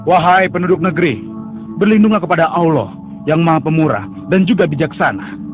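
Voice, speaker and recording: A man's voice: a little high, animated, a little fast, natural voice. A man voicing an earnest young male prophet who pleads with and admonishes idol worshippers in a dubbed cartoon. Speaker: voice actor. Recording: dull lo-fi audio with music.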